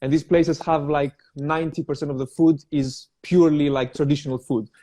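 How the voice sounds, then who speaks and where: A young man who grew up in Cyprus and speaks Greek as his first language talks as a guest in a relaxed interview. His voice is mellow and dark. He speaks hesitantly, filling pauses with frequent uh's. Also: on the high side. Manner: hesitant, many "uh" fillers and restarts. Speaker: guest, vegan personal trainer. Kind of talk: casual interview.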